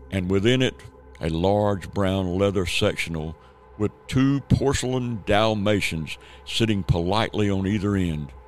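A man narrating a scripted radio drama as its storyteller. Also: fairly low pitch.

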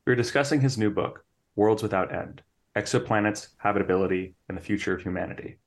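A man's voice: lowish voice, fast talker. A man hosting and interviewing on a podcast.

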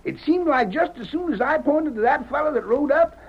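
Creaky, high-pitched cowboy sidekick. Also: male voice, expressive, fairly quick. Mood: puzzled, excited. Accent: American Western. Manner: folksy drawl, dithering. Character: excitable, hesitant cowboy sidekick, slow to catch on. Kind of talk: old-time radio western acting. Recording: dull, noisy old radio recording.

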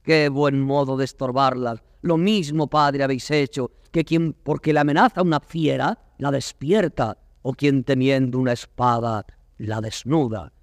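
A man's voice: medium pitch, a little slow, natural voice. An actor playing a proud young prince who addresses his court in classical Spanish verse, declaiming with a Castilian accent. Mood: proud, reproachful. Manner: declamatory, stately oratory. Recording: reverberant hall, vintage studio drama record.

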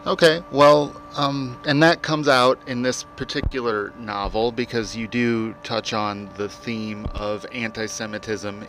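A man's voice: mid-range voice, background noise. A man hosting an interview, asking questions in a conversational way with fillers. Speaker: interview host.

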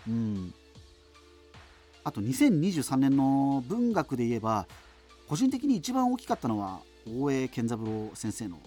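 Male radio host, wry, nostalgic, rambling monologue, animated intonation, soft music behind, radio drama. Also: mid-range voice.

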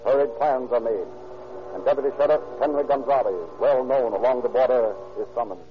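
A man's voice: mid-range voice, brisk. A man giving formal, dramatic scripted narration for an old-time radio crime show. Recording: vintage radio broadcast, dull, music behind.

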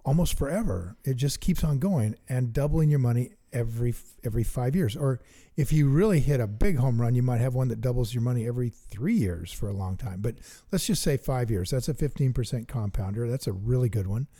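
A middle-aged man co-hosting a chat about investing, eager and thinking out loud as he works sums aloud. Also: mid-range voice, fairly quick, few pauses. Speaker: investing podcast co-host. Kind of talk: casual teaching chat.

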